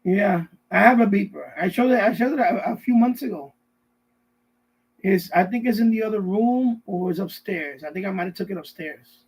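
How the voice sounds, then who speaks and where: High-pitched husky middle-aged man rambling casually. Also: moderate pace, poor audio. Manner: rambling, repeats himself often. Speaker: live stream host. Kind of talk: casual live stream chat. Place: basement.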